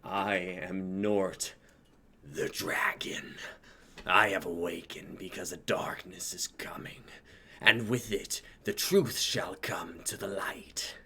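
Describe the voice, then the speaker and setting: Slow, husky, menacing dragon king. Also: sits low, steady tone, pushed and bright, heavily acted. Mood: ominous, grave. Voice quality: breathy growling whisper. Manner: slow, grandiose, archaic speech. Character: vengeful ancient dragon king, imperious and menacing. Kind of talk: dramatic script reading.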